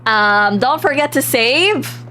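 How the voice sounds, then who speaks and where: A woman livestreaming a visual novel, reading aloud with expressive, giggly reactions and the odd stumble over words. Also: high voice, slow pace. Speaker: live streamer playing and reading a visual novel. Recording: music playing behind the voice.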